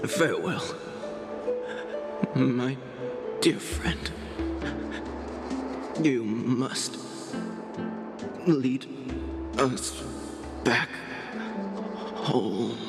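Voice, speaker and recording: Dying loyal soldier, very drawn-out halting delivery, proud, resigned, game drama, echoing under loud music. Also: male voice, mid-range voice, expressive. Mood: weak, tender farewell. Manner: weak, halting, drawn-out farewell speech. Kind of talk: dramatic video game acting.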